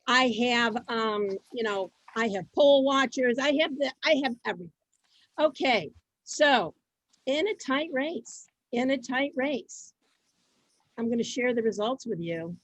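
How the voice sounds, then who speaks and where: A woman hosting an online event over a video call, chatting informally and haltingly, often repeating her phrases. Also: a little high, animated, a bit bright. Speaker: host of an online reader's theater event.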